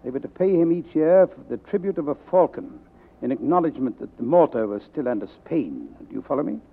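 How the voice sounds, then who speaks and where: An actor playing a suave, greedy fat crime boss with florid, courteous manners in a 1940s radio drama. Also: male voice, on the high side, expressive, fast talker, creaky, slightly rough, heavily acted. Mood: genial, grandiose. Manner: florid, formal, courteous address. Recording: tinny vintage 1940s radio recording.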